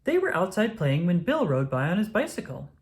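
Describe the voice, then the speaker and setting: A woman narrates a children's picture book in a fairly low voice. Her pitch moves in lively swoops, and she phrases things deliberately and expressively for young listeners. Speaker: storybook reader. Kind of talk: children's read-aloud narration.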